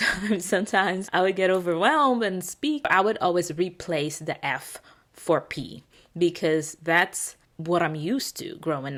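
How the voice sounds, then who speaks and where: A young woman vlogging to camera with a Filipino accent, her voice pitched fairly low with a gravelly edge. She talks off the cuff, looping back with "like" and restarts. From Philippines. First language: Tagalog. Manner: chatty, restarts and "like" fillers, laughs often. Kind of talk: casual unscripted chat to camera.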